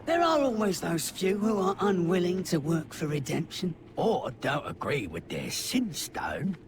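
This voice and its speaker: A man's voice: high voice, very animated, measured pace, noisy background, heavily acted. A man voicing a chatty, irreverent servant creature in a video game, theatrical and cheeky like a folksy guide. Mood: wry, ominous. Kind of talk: video game cutscene acting. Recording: music behind the voice.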